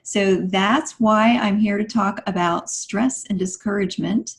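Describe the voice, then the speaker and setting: A woman with a medium-pitched voice speaks as a guest co-host on a podcast. Her delivery is conversational, like someone introducing her own work. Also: a little slow. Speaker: guest co-host, author and life coach.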